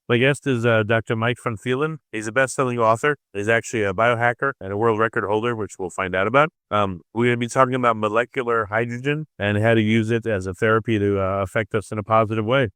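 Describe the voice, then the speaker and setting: A man hosting a podcast interview, asking quick questions with many fillers. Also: lowish voice, a bit bright, clean tone, no room sound, studio quality. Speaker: podcast host.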